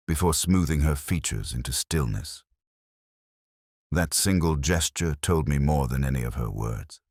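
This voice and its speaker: A man reading a scripted first-person detective story in a measured, literary, brooding manner. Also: very deep voice, no room sound, studio quality. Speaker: audio drama narrator.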